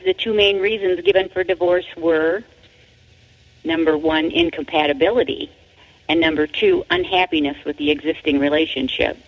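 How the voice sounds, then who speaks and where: A woman with a creaky, gravelly edge to the voice narrates a book from a script. The delivery is deliberate and emphatic, and the recording sounds dull and lo-fi. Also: lowish voice. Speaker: author reading their own book. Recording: dull, lo-fi audio.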